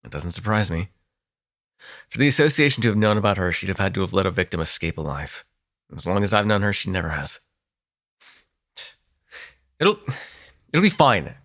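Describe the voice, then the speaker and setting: A man playing a protective, sardonic male vampire in an ASMR audio roleplay, talking intimately and haltingly, with swearing and sighs. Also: sits low, big pitch swings, fairly bright tone. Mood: agitated, exasperated.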